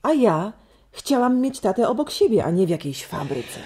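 A woman's voice: medium pitch, very animated, moderate pace, mellow, slight background noise, close to an everyday voice. An actress playing an easygoing, lightly amused mother and grown-up daughter in a children's radio play.

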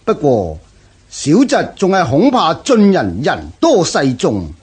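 An actor playing a proud, scornful Xiongnu chieftain in a Cantonese historical radio drama, declaiming grandly with a Hong Kong accent. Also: male voice, fairly high pitch, wildly swooping pitch, takes it slowly, a little mellow, husky, full character voice. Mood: calculating.

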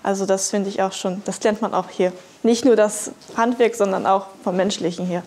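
A woman's voice: medium pitch, steady tone, moderate pace, darkish tone, a little hiss or hum. A young woman training in a construction trade, giving an informal interview testimonial. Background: faint music.

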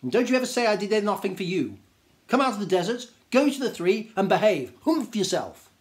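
A man's voice: high-pitched, very animated, fairly quick, pitched above the performer's own voice. A man voicing a lofty, commanding desert djinn from a children's storybook, emphatic and theatrical in his scolding. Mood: stern, triumphant.

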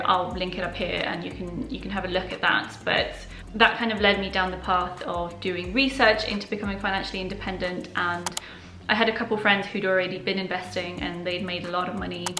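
A bright, clear-toned young woman whose first language is Polish chats openly through viewer questions. She talks to the camera from a living room, and the room's echo carries around her voice. Her delivery is casual and friendly. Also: mid-range voice, a little hiss or hum. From Poland. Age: young adult. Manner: chatty and open, answering viewer questions. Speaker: personal finance YouTuber. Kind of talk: casual Q&A vlog. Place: living room at home, indoors.

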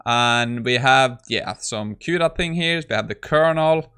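A man in his thirties talks through code in a casual, conversational way. He sounds like a tech creator at his desk, speaking at a middling pitch into a close microphone. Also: unhurried, sharp tone, smooth. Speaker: tech YouTuber. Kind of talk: casual screen-recorded coding walkthrough.

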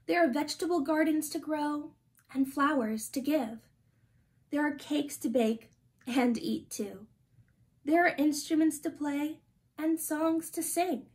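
A woman in her twenties reading a children's picture book aloud with deliberate, gently expressive phrasing. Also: sits high. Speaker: storytime reader. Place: small indoor room.

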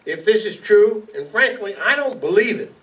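An actor playing a skeptical, indignant lawyer in a radio noir, stiff and formal, recorded in a hall. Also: male voice, high-pitched, animated, moderate pace, a bit bright. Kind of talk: 1950s radio noir acting. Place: stage in an indoor meeting hall, live reading. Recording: distant mic in a hall.

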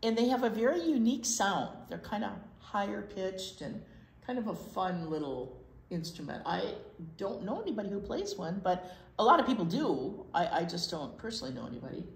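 An American woman in her sixties reads a picture book aloud as a children's storyteller, expressive and explaining things simply. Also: medium pitch, a little fast, gravelly, a little hiss or hum. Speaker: children's storytime reader at a library. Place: library children's room, indoors. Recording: roomy, echoey indoor sound.

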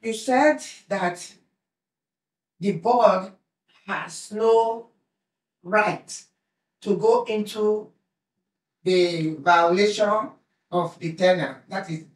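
A woman at a public meeting with a big voice, speaking haltingly as she searches for words. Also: mid-range voice. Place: community room at a board meeting, seated. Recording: echoey room, far from the mic.

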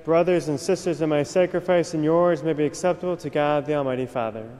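A young man, a priest, reciting the prayers of Mass at the altar in a measured, solemn way. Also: on the high side, a little mellow, slight background noise. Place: large cathedral, at the altar. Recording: long cathedral reverb.

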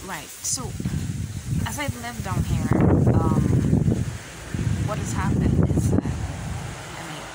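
A young woman with a Barbadian accent chatting casually to a phone camera outdoors, relaxed and rambling, with many pauses and fillers. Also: lowish voice. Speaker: vlogger. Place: outdoors in a rural yard. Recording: loud outdoor noise behind.

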